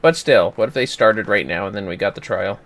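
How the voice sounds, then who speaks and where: A man chatting casually while gaming on a livestream, playful, exclamatory and quick to swear. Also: fairly low pitch, a bit bright. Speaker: gamer on a livestream. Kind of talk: casual gameplay chat.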